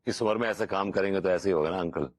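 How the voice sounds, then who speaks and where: A man's voice: low-pitched, fast talker, no room sound, pitched below the performer's own voice. A man voicing a cheeky young neighbour who makes sly, teasing remarks.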